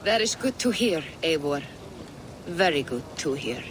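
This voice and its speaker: A man voicing a calm, thoughtful Viking brother who speaks warmly. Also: fairly high pitch, a little slow, heavy background noise. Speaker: brother of the clan leader. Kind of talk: video game voice acting. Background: music.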